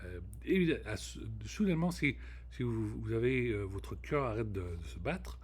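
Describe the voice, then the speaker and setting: A man's voice: on the low side, lively intonation, normal speed, husky. A man hosting his own podcast, talking casually in with many restarts and fillers and slipping into English words. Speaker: podcast host. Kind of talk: casual monologue to camera.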